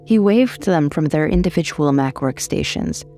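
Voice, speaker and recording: A woman narrates horror fiction. Her voice sits very low with a lot of fry, wry and dramatic, over faint music. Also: animated, moderate pace, warm tone.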